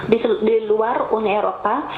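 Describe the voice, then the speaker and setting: A woman's voice: a little high, normal speed. A woman with a cough presents patiently over an online call, explaining things step by step and addressing her audience politely. Her voice comes through thin and phone-like, with a roomy echo and faint hiss behind it. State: has a cough. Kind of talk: webinar presentation. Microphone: remote call mic. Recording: tinny, echoey online call audio.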